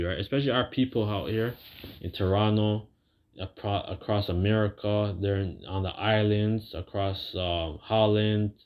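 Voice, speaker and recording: Male street-style preacher, deep voice, loose halting delivery with repeated phrases and casual check-ins, muffled lo-fi sound. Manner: colloquial, seeks agreement with tags like "right". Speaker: religious preacher. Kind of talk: informal sermon with scripture reading.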